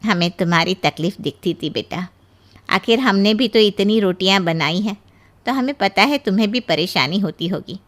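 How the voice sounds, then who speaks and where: Woman acting a bright, motherly mother-in-law. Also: medium pitch, expressive, normal speed, pitched below the performer's own voice, close to an everyday voice. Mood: tender. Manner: motherly, coaxing. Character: affectionate middle-aged Indian mother-in-law, playful and caring. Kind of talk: animated Hindi moral story voice acting.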